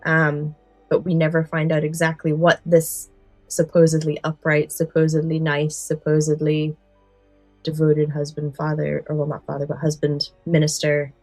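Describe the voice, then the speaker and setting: A woman's voice: deep voice, completely flat delivery, normal speed, a little mellow, no room sound, faint music behind, poor audio. A woman co-hosting a podcast in casual chat, recounting favourite stories and often correcting herself. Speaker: podcast co-host.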